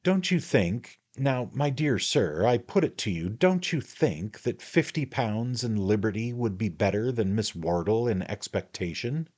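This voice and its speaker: Man voicing a high, bustling, wheedling little lawyer; ingratiating and fussy. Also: animated, unhurried, hardly any pauses, darkish tone. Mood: coaxing. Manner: ingratiating, wheedling, repeats "my dear sir".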